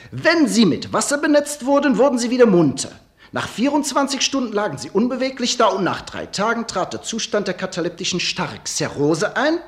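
A performer playing a pompous German scientist in a radio drama, lecturing clinically and precisely. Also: medium pitch. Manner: clinical, precise, academic, with hesitant ers.

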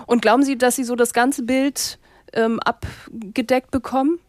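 A woman's voice: on the high side, steady tone, a little slow, darkish tone, no room sound. Polite woman radio host, dry studio. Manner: polite, probing questions. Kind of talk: radio phone-in moderation.

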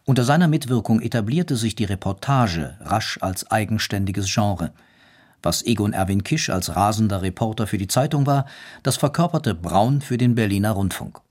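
A man's voice: on the low side, moderate pace, darkish tone. A man reading scripted documentary narration as a narrator.

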